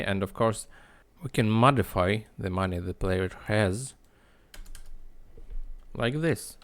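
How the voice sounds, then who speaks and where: A man narrating a screen-recorded coding tutorial in a halting, explanatory way. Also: lowish voice, creaky, fairly bright tone. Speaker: tutorial host.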